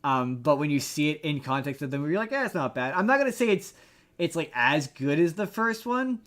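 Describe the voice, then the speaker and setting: A man chatting casually as a podcast co-host, explaining things in an informal, slangy way with halting repeats. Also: a little high, very animated, fairly bright tone. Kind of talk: casual movie review chat.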